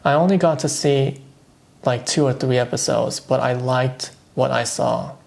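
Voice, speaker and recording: A man talking casually and thoughtfully on his own channel, giving low-key opinions with dry asides. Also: a little slow, vocal fry, a little mellow. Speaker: vlogger reviewing streaming shows. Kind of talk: casual solo commentary.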